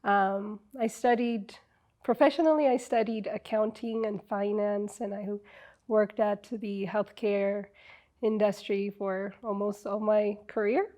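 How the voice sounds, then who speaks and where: A middle-aged woman from Ethiopia, a wellness coach, telling her story as an interview guest in a reflective way, with many ums and pauses. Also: mid-range voice, fairly even intonation, dark tone.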